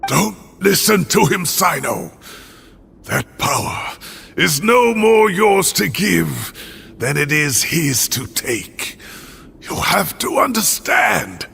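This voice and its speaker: A regretful old professor in a video game cutscene. His gravelly voice moves slowly, with wide swings of pitch as he pleads with open feeling. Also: sing-song, a bit bright, heavily acted. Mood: pleading. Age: older. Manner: pleading, heartfelt. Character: older male professor, earnest and regretful.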